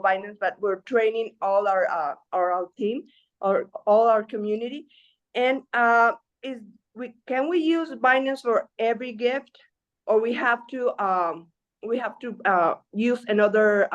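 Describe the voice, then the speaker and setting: A woman's voice: on the high side, lo-fi sound. A woman from Ecuador calling in with a question, speaking hesitantly with many fillers. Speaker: caller.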